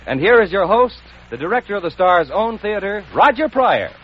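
A man's voice: sits high, sing-song, normal speed. A male announcer presenting and reading ads in an emphatic, polished 1940s radio style. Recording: dull noisy old radio recording.

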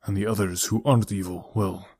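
Man as a low, weary, grave older uncle. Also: slightly rough, no room sound. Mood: regretful, grave. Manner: measured, deadpan, subdued. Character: grave, weary, aging uncle, protective and regretful. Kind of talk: audiobook character dialogue.

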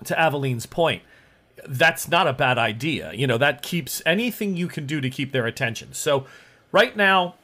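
A man's voice: on the high side, expressive, moderate pace, sharp tone. A man running a tabletop game as game master, explaining the situation and steering the players.

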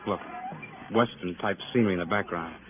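A man's voice: sits low, fairly quick, natural voice. Man acting a streetwise cop on crackly old radio. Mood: chatty, streetwise. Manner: colloquial, clipped short sentences. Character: talkative, streetwise male police sergeant, wry and weary. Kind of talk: 1950s radio crime drama acting. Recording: noisy, narrow-band vintage radio recording.

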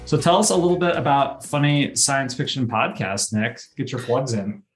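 A man's voice: medium pitch, lively intonation, moderate pace, music in the background. A man hosting a podcast in a casual, chatty fan-discussion style. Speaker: podcast host.

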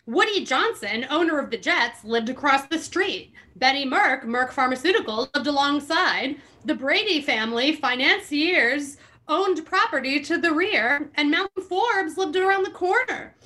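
A woman with a bright, forceful, fairly high voice reads a book aloud as a podcast host. She leans into theatrical emphasis and slips into casual, amused asides. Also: lively intonation, moderate pace. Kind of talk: dramatic reading with casual asides.

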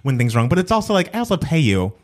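A man chatting casually as a co-host, animated and quick to laugh. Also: fairly high pitch. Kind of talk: casual chat.

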